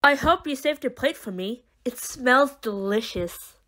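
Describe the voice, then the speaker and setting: A woman's voice: on the high side, lively intonation, a little slow, bright, forceful tone. A woman playing a smug, evasive schemer in an audio drama, with a coy, arch delivery. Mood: breezy. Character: smug, evasive, teasing woman who teleports.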